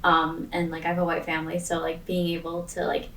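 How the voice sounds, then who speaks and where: Young woman student, low-ish voice, earnest and filler-heavy; distant mic in a roomy space with faint hiss. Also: monotone, fairly quick, fairly bright tone. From Maine. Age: young adult. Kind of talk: casual discussion answer.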